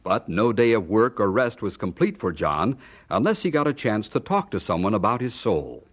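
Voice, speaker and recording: An American man narrating a radio drama in a formal, measured, solemn announcer's style. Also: fairly low pitch, big pitch swings. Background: organ music.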